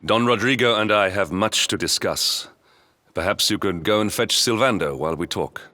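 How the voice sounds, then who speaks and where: An actor playing a stern, loyal knight in a video game, speaking in a formal, measured, courtly manner. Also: male voice, on the low side, pushed and bright. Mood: grave. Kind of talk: video game character acting.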